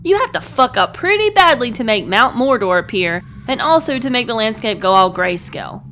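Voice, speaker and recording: A woman's voice: fairly high pitch, very animated, a little fast, fairly bright tone, a little hiss or hum. A young adult woman delivering scripted comedic narration like a diary entry, with a sarcastic, crude and emphatic manner. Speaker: host of a comedic gaming web series.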